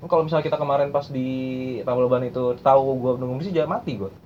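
A man's voice: medium pitch, fast. A young man hosting a casual podcast chat, explaining language learning in slang and repeating phrases as he goes. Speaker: podcast host. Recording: muffled lo-fi recording with faint noise.

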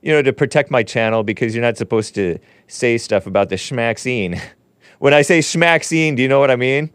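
A man's voice: mid-range voice, lively intonation, moderate pace, smooth, dry recording, clean studio sound. A man hosting a talk show, chatting casually, laughing easily and trailing off into fillers. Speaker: show host.

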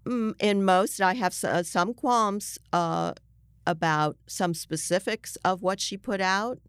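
An American woman speaking conversationally as an interviewed journalist, explaining emphatically and often halting mid-sentence to rephrase. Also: medium pitch, clean tone, no room sound, studio quality.

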